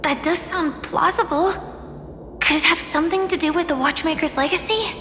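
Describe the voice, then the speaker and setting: A young woman voicing an earnest, soft-spoken game heroine, wary yet resolute. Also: very high-pitched, normal speed, slight background noise. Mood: thoughtful. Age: young adult. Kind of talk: video game cutscene voice acting. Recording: long echoing reverb, game music behind.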